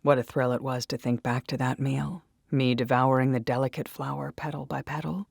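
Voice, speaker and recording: A woman's voice: rumbling low, little pitch movement, fairly quick, creaky, husky, no room sound, clean studio sound. A woman narrating a first-person story in a dramatic, intimate and intense manner.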